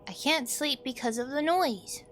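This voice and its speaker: A woman's voice: high-pitched, a little slow, a bit bright, faint music behind, voice pushed up. A woman voicing a timid, meek, sleepless small child in a visual novel. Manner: meek, pleading.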